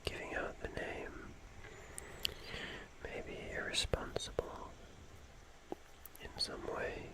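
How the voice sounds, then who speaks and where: An ASMR streamer whispering in a breathy, musing way, with long pauses between drawn-out phrases, in the style of eerie bedtime storytelling. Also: poor audio.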